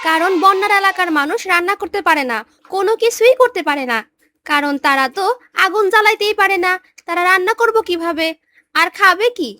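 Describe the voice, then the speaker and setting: A woman's voice: extremely high pitch, animated, brisk, bright, forceful tone, dry recording, heavily acted. A woman voicing a kindly, pious young aunt in a family cartoon, with a colloquial Bangladeshi accent. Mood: approving. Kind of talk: Bengali cartoon dubbing.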